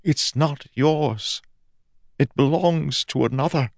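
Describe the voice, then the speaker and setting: Guy doing a fading ancient god, soft grave voice pitched up, slow and weary, dry close sound. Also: vocal fry, dry recording. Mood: solemn.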